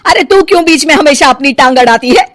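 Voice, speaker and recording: A woman's voice: sits high, expressive, fast talker, a bit bright, no room sound, studio quality, pitched above the performer's own voice. A woman voicing an older, emotional mother-in-law in a cartoon story, scolding and plaintive by turns. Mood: stubborn, scolding. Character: older stubborn, emotional mother-in-law pining for her son. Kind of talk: animated cartoon story dialogue.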